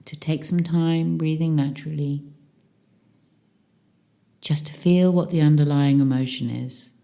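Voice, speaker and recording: A woman leading a guided meditation as a teacher, speaking calmly and soothingly with long pauses between phrases. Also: low-pitched, creaky.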